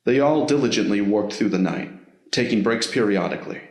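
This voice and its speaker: A man narrates a scripted story in a fairly low voice. He reads as the narrator of an audio drama, and the recording has a noticeable roomy echo. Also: fairly quick, darkish tone.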